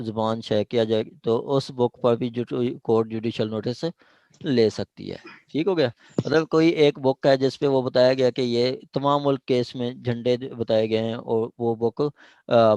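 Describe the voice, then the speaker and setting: Male law lecturer, Pakistani accent, mid-range pitch, explanatory and repetitive, English terms mixed in, very little room sound. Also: dry recording. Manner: explanatory, repeats points, mixes in English legal terms. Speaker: law teacher. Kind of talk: informal lecture explaining statute clauses to a class.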